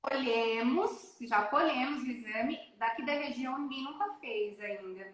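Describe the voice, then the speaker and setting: A woman talking about her daughter, telling her story in an emotional, animated way on a casual live chat. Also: high-pitched, measured pace, crowd noise. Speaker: guest mother. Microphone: phone or laptop on a live video call. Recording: echoey room.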